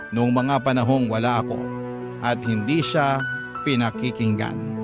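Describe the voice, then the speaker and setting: A man's voice: medium pitch, natural voice. A Filipino actor playing a heartbroken letter writer, narrating his regrets in a sorrowful, confessional way. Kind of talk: first-person radio drama narration. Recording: loud music bed under narration.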